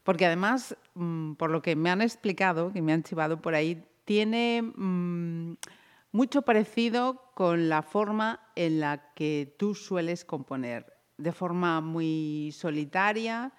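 A woman interviewer asking a musician about his career in a friendly, measured way. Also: lowish voice, clear, resonant tone. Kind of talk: radio-style interview.

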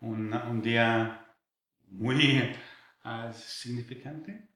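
A man's voice: medium pitch, steady tone, a bit bright, echoey room. A man in his sixties from England with an English accent, speaking hesitantly as a guest in a radio interview in a second language. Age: 60s. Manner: halting, many hesitations and restarts.

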